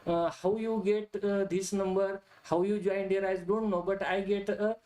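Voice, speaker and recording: Halting man hosting a webinar. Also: high voice, level tone, dark tone. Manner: halting, non-standard grammar, frequent "uh". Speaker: webinar host. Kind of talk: casual closing remarks.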